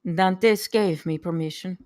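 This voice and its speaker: A woman's voice: on the low side, slow pace, darkish tone, no room sound, studio quality. A woman playing a proud, defiant noblewoman, speaking formally and with measure in a script table read. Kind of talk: live table read of a screenplay.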